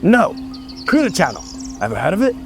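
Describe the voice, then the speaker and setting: Man acting a pompous, animated nature documentary host. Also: sits high, brisk, creaky, music in the background, full character voice. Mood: boastful. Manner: pompous, theatrical. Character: pompous, self-important male nature documentary host, affected accent. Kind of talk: mock nature documentary narration.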